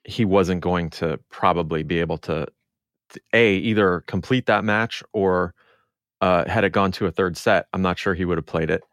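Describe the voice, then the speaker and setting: A man's voice: low-pitched, normal speed, fairly bright tone, no room sound. A man hosting a podcast, talking through sports in a casual discussion style. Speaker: podcast host.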